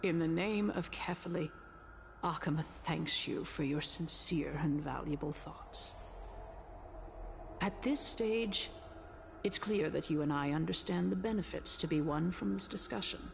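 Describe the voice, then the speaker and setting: An imperious female elder of a council in a video game. Her voice is fairly low with plenty of gravel. She speaks formally and gravely, like a schemer giving an oration, over faint noise. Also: measured pace. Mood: probing, stern.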